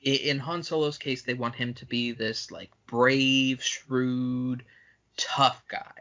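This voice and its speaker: A man's voice: medium pitch, fairly even intonation, slow, sharp tone, lo-fi sound. A man co-hosting a podcast, discussing film casually in a blunt, profane, opinionated way. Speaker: podcast co-host.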